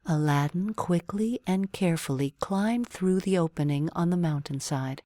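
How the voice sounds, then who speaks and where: A woman reading a children's bedtime story as an expressive storyteller. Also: fairly low pitch, no echo, studio quality.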